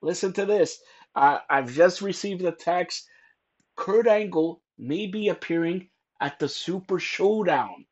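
A man hosting a wrestling podcast, talking loudly and teasing his guests, rambling with lots of fillers. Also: high-pitched, expressive, measured pace, highs cut off. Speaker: podcast host. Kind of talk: loud joking wrestling podcast chat.

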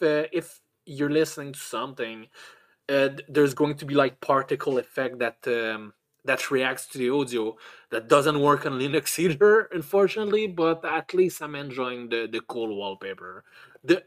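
A man hosting a casual chat in a halting, stammering way, with blunt swearing. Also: fairly high pitch. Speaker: podcast host.

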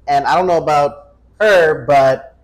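A man's voice: fairly high pitch, unhurried, rough recording. A man in his twenties chatting casually as a podcast host, joking and swearing freely. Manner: profane, joking, animated self-interruptions. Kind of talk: casual chat.

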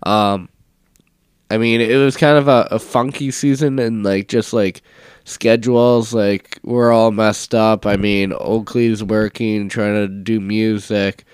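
A young man co-hosting a casual sports podcast, stuffed up from a cold and talking in a relaxed, rambling way. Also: lowish voice, slow pace, smooth, no room sound. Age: young adult. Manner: relaxed, rambling, many fillers. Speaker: podcast co-host, university football player.

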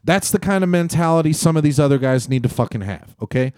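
A man's voice: fairly high pitch, animated, fast talker, a little mellow, no echo, studio quality. A man co-hosting a sports podcast, talking bluntly and swearing often. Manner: blunt, profane, rambling. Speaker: podcast co-host. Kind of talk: casual sports chat.

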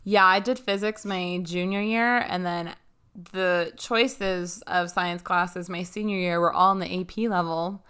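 A young woman hosting a podcast, chatting and teasing in a wry, brisk way and reading quiz questions aloud. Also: fairly low pitch, fairly even intonation, a bit bright, clean tone. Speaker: podcast host.

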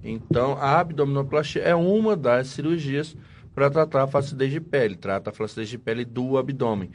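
A man co-hosting a podcast as a plastic surgeon, explaining calmly and casually. His voice is mid-pitched and easygoing, with faint ambience in the room. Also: fairly quick, a little hiss or hum. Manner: relaxed, explaining with fillers and restarts, swears jokingly.

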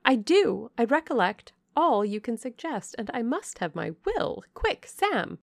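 A woman's voice: on the high side, big pitch swings, measured pace, vocal fry, no echo, studio quality, pitched above the performer's own voice, full character voice. A woman voicing a haughty young noblewoman who gives orders and speaks with scorn. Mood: commanding. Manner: commanding, scornful.

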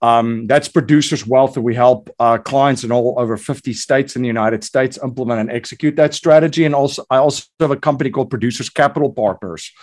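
South African-born man in his 40s, mid-pitched, energetic storyteller with restarts, podcast guest. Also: brisk, hardly any pauses. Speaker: guest, investor and entrepreneur. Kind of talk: podcast interview.

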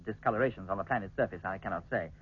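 An actor playing a courteous, confident space expedition captain in a 1950s British radio drama, with formal, assured delivery. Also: male voice, lowish voice, a little hiss or hum, natural voice. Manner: polite, formal, measured assurance. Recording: muffled, band-limited 1950s radio recording.

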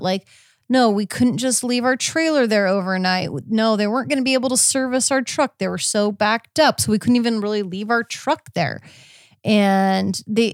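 A woman's voice: fairly high pitch, no echo, clean studio sound. An American woman hosting a podcast from home in a casual, conversational style. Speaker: podcast host. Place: indoors at home.

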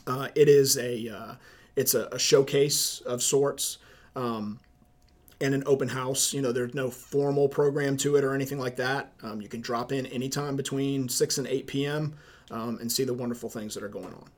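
A middle-aged man with a Southern accent from Charleston, a high school principal answering interview questions in a measured way, with many ums and uhs. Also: steady tone. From South Carolina, USA. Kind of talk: podcast interview.

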